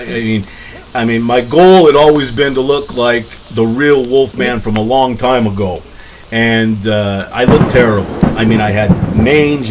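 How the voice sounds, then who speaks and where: A man's voice: mid-range voice, a little hiss or hum, close to an everyday voice. Man doing a grumbling New York werewolf. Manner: blunt, defensive, grumbling. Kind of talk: comic radio interview skit.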